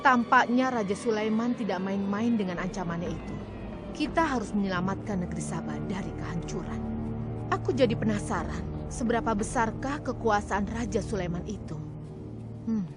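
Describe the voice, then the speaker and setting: A woman playing a proud, commanding and shrewd queen in an animated religious story, speaking in a formal, theatrical way. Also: mid-range voice, moderate pace, close to an everyday voice. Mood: pondering, resolute. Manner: imperious, formal, theatrical delivery. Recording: loud music under the voice.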